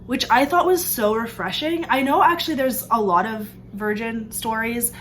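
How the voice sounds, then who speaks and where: A woman's voice: on the high side, moderate pace, fairly bright tone, a little hiss or hum. Young woman vlogging about books, kinda stuffy nose, chatty, faint birds and planes in the back. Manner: chatty, upbeat, frequent "like" and "um". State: stuffed up from allergies. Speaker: book vlogger. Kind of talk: casual book review chat. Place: room with open sliding door.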